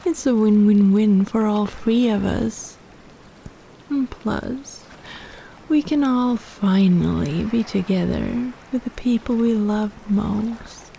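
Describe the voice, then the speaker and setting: A woman's voice: mid-range voice, slow, creaky, mellow, a little hiss or hum, poor audio. A woman playing a possessive, teasing kraken in an intimate roleplay audio drama, coaxing and condescending as she schemes. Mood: satisfied, doting.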